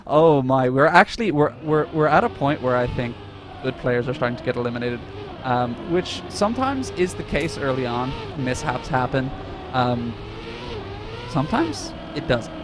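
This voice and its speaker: A man's voice: medium pitch, expressive, normal speed, noisy background. A man co-casting an esports stream in a casual, joking, mock-earnest style. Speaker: esports co-caster.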